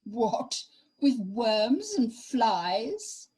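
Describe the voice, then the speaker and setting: A woman plays an abandoned noblewoman in a verse radio drama. Her voice is husky and fairly high, and she speaks slowly, bitter and anxious. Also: sing-song, a little mellow, full character voice. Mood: mournful, teasing. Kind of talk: amateur Shakespearean radio play.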